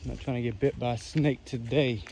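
Mellow-voiced young man vlogging outdoors. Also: medium pitch, steady tone, brisk. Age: young adult. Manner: laid-back, slangy. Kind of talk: casual off-the-cuff walking commentary. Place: outdoors on a wooded trail. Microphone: handheld phone or camera at arm's length. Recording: outdoor nature ambience, faint noise.

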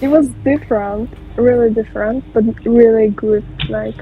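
A woman's voice: fairly high pitch, steady tone, moderate pace. A young woman from the Czech Republic with a Czech accent, chatting in hesitant English on a video call from her bedroom. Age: young adult. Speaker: stranger on a video chat. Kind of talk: casual video chat. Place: bedroom lit with purple light. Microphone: webcam. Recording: dull lo-fi webcam audio with faint hiss.

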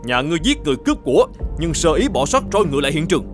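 A shrewd, stern governor from an animated folk tale. He lays down judgment with formal, commanding authority and an animated, varied delivery, over background music. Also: a little high, very fast. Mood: stern, accusing. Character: stern, shrewd male governor judging a murder case. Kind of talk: cartoon folk-tale dubbing.

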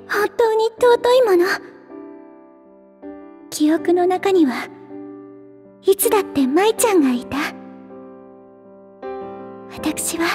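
Anime game drama: a refined, sentimental young lady, polite, slow, soft-toned and high. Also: full character voice. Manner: soft, polite, ladylike, wistful. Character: gentle, sentimental, refined young lady idol, formerly frail.

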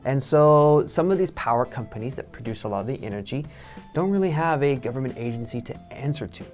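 A man in his thirties from Texas with a Texan accent, presenting an English lesson in a relaxed, chatty way from a studio. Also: mid-range voice, fast talker, faint music behind. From Texas, United States. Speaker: English teacher. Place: studio in front of a backdrop.

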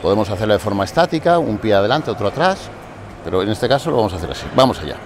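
Lower-voiced man coaching in a gym. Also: sing-song, very fast, noisy background. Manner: explanatory, checks in with listeners often. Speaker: fitness coach. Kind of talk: gym exercise instruction. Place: large indoor gym. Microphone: lapel mic. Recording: music playing clearly behind.

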